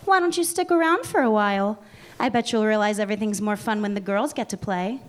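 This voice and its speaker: A woman's voice: mid-range voice, fairly quick, lightly acted. An actress reading a seductive female vampire neighbor at a live table read, purring and mock-sweet. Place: live venue.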